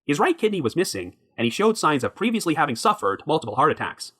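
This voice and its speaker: A man hosting a podcast, narrating a true crime story from a prepared script in a measured way. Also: on the high side, big pitch swings, a bit bright. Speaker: podcast host.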